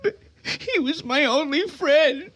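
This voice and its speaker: An actor playing a grief-stricken, bitter young son in radio drama, with anguished, stammering outbursts. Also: male voice, very high voice, expressive, slow pace, a bit bright, exaggerated voice. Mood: tearful grief. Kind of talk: old-time radio drama acting.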